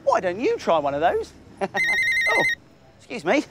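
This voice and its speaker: A man, presenting crafts for young children in an excitable, exaggerated and clearly enunciated way. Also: high-pitched, moderate pace, heavy background noise. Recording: music bed behind the voice. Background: children's show music.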